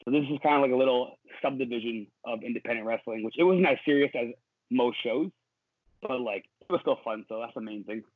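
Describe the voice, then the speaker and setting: A young man, a professional wrestler guesting on a podcast, talking in a relaxed, rambling way with plenty of fillers. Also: mid-range voice, a little fast, dry recording. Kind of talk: casual interview chat. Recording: dry, tinny phone-like call audio.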